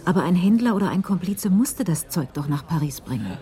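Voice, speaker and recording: A woman narrating a literary crime story in a radio drama, in a measured storytelling manner. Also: fairly low pitch, animated, mellow, lightly acted. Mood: measured, suspenseful.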